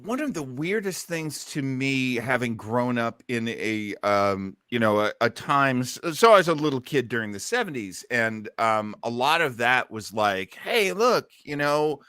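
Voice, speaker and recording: A man in his fifties hosts a casual discussion. His voice is bright and forceful with a dry, close sound, and he explains in halting steps. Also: medium pitch, animated, clear, resonant tone, no echo. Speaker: host of an old-time radio listening party.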